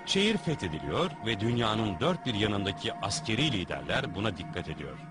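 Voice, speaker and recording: A man's voice: on the low side, very animated, a little fast. A man reading scripted documentary narration. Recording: music bed under narration.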